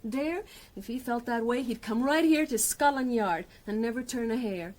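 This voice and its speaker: An actress playing a brassy, defiant criminal's wife in a radio drama, with an American accent and a sardonic, slangy, emotional manner. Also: female voice, fairly high pitch, normal speed, a little mellow, lightly acted. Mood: scornful.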